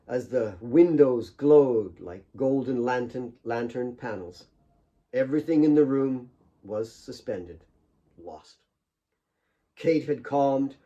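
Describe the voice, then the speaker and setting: A man with a mid-pitched voice narrates a dramatic novel. His delivery is solemn and measured, with deliberate pauses between phrases. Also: dark tone. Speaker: author reading own novel.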